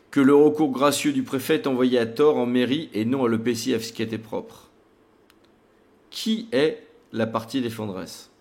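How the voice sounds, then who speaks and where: A man's voice: medium pitch, a little mellow. Man presenting an explanatory legal tutorial.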